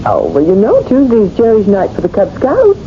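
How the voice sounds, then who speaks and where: An actress playing a flustered, apologetic housewife with a hesitant, stammering manner in a 1970s radio drama. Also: female voice, medium pitch, huge pitch swings, normal speed, a little hiss or hum. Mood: flustered, evasive. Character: flustered, apologetic housewife talking to her husband. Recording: muffled 1970s radio broadcast.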